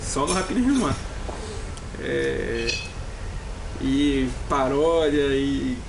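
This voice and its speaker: A man's voice: mid-range voice, extremely slow, creaky, noisy background. A Brazilian man co-hosting a sports podcast in casual chat, often hesitating and restarting his sentences. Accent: Brazilian Portuguese. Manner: hesitant, many restarts and fillers. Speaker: podcast co-host.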